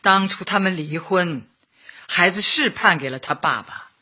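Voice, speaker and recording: An actress in a radio drama playing a kindly older community official, consoling and persuasive. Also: female voice, on the low side, measured pace, pushed and bright, husky, heavily acted. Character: steady, kindly older female community Party secretary. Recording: dull lo-fi, music behind.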